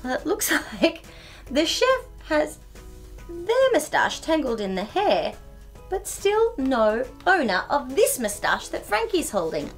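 A woman's voice: high voice, wildly swooping pitch, takes it slowly, faint music behind. A woman in her thirties reads a picture book aloud to young children in a bubbly, exaggerated, giggly way as a storytime host. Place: small furnished indoor room.

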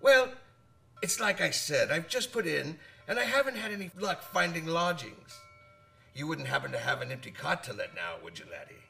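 A man's voice: a little high, very animated, moderate pace, creaky, sharp tone, faint music behind, full character voice. An actor in a radio drama playing a hearty old one-eyed sailor who spins boastful yarns in folksy nautical talk, repeating his phrases. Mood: jovial, wheedling. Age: older.

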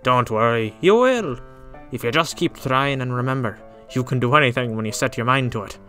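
A man's voice: mid-range voice, lively intonation, moderate pace, bright, forceful tone, soft background music. A man voicing a kind, encouraging father pony in an amateur cartoon drama, hearty and reassuring. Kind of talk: amateur animated audio drama.

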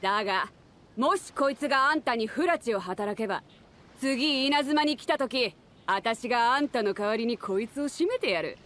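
An actress voicing a bold, hearty, protective woman ship captain in an anime game, with rough, commanding, masculine speech. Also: a little high, lively intonation, normal speed, fairly bright tone, slight background noise. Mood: confident, commanding. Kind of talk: anime video game voice acting.

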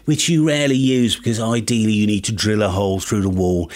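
A man's voice: fairly low pitch, steady tone, brisk, darkish tone. A British man in his fifties giving a chatty, tongue-in-cheek comic monologue to camera as a presenter. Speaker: YouTube ham radio presenter. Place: small home studio with acoustic panels. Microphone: studio microphone on boom arm.

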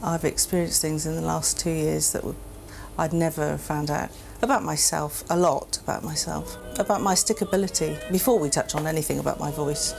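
A woman's voice: deep voice, fairly even intonation, a little fast, creaky, hardly any pauses, darkish tone, poor audio. A woman who is a theatre nurse and trainee opera singer, speaking frankly and with animation in an interview. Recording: music under the voice.

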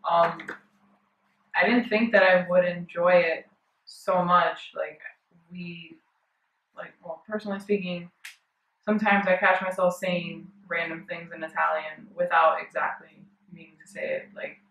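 A woman's voice: fairly low pitch, fairly even intonation, measured pace, a bit bright. A woman in her twenties chatting casually as a student in an interview. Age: 20s. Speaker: study-abroad student. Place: living room, indoors. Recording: roomy, distant, dull sound.

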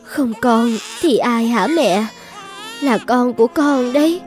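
Casting: wronged cartoon maiden, high lamenting voice, young, light music underneath. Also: female voice, slow pace. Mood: indignant, earnest. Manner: plaintive, lamenting. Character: wronged, sorrowful young daughter and new mother. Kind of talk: animated fairy-tale dubbing.

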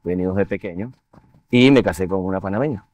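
Low-voiced man. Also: darkish tone, no echo. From Venezuela. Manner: measured, reflective, with frequent fillers. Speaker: interview guest, finance executive. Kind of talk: studio TV interview. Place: TV studio set. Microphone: lapel mic.

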